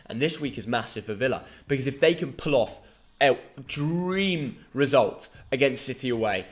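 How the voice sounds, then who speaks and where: A young man from England hosting a casual football prediction chat, lively and excitable in his banter. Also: medium pitch, normal speed. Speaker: host.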